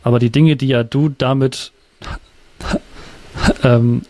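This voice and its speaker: A man's voice: medium pitch, steady tone, slow, a little mellow, no echo. A man talking casually as a guest, reflective and hesitant, often searching for his words. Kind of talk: casual conversation.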